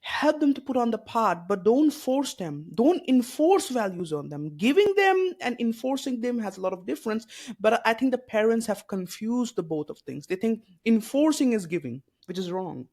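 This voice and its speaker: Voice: medium pitch, sing-song, normal speed, a little mellow. A podcast host speaking earnestly and with strong opinions, leaning on "you know" and rhetorical questions in a casual conversation.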